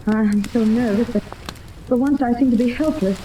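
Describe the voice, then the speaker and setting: A woman's voice: a little high, dark tone, natural voice, rough recording. An actress playing an anxious, refined wealthy woman with a hesitant manner in a 1930s radio serial. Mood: nervous. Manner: hesitant, halting. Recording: hissy 1930s radio recording.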